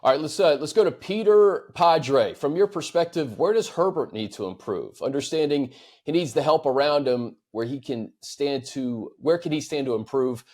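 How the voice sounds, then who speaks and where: Male American podcast host, medium-pitched voice, casual sports discussion with measured, thoughtful phrasing. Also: a little mellow.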